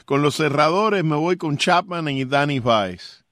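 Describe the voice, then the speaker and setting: A man's voice: fairly high pitch, animated, measured pace, fairly bright tone, no room sound, clean studio sound. A man hosting a casual sports interview, reacting and interjecting with short questions and exclamations. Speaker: host interviewer.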